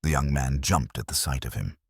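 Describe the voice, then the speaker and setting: A man narrating a scripted mystery story in a measured, literary and theatrical manner. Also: very deep voice, breathy edge, no room sound, clean studio sound.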